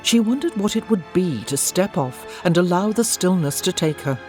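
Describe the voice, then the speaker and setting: A woman narrates a historical audio drama in a literary, scripted style. Her voice is low for a woman, and music plays underneath. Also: expressive, normal speed, a little mellow.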